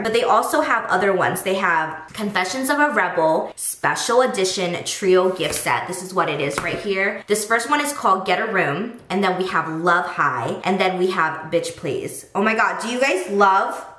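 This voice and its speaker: A woman's voice: on the low side, animated, moderate pace, few pauses, fairly bright tone. A woman in her late twenties chatting casually to camera as a vlogger, in a gushing, emphatic way. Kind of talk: casual vlog chat to camera. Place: tiled home bathroom. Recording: echoey bathroom sound.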